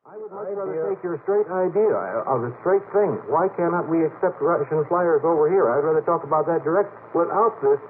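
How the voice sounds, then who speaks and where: A man's voice: a little high, a little fast, few pauses. A man in a radio panel discussion, arguing in a sardonic, contrarian way with hesitant starts. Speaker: panel guest, sceptical debater. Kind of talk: argumentative radio panel talk. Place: radio studio. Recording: noisy, phone-like old 1950s radio recording.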